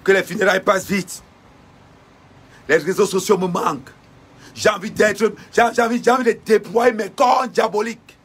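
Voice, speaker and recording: A man giving an impassioned denunciation in a repetitive, preacher-like cadence with shouted bursts. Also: high voice, steady tone, normal speed, breathy edge.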